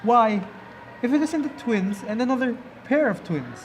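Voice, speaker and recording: A man's voice: very high-pitched, very animated, moderate pace. A man giving scripted narration and explanation as a presenter in a puppet show. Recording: faintly noisy amateur recording.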